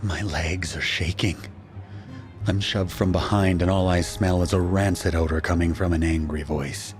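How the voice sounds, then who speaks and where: A man's voice: sits low, fairly even intonation, normal speed, faint music behind, close to an everyday voice. A man playing an anguished husband and father, narrating an audio drama in a grave, dramatic way. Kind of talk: scripted first-person audio drama narration.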